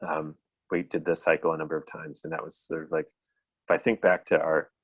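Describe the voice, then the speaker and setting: Very deep-voiced American man, reflective. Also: flat delivery, slightly rough, no room sound. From United States. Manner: reflective, halting. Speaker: guest violist. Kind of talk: thoughtful interview answers. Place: indoors at home, on a video call.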